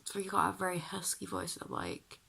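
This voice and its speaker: A young woman with a deep, husky voice, sounding ill and croaky, chatting casually to the camera about her makeup and feeling sick. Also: fairly quick, pushed and bright. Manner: chatty and self-deprecating, sighing. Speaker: beauty vlogger.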